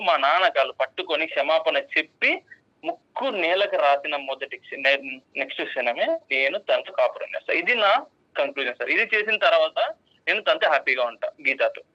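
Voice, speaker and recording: A young man arguing as the husband in a counseling session, stubborn, insistent and repetitive, on slightly dull sound. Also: fairly high pitch. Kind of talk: spontaneous argument.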